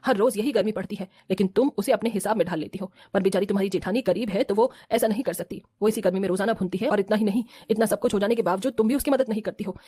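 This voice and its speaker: A woman's voice: mid-range voice, dark tone, slightly rough, close to an everyday voice. A woman playing a stern, righteous mother-in-law in a cartoon family drama, scolding and lecturing in a firm manner.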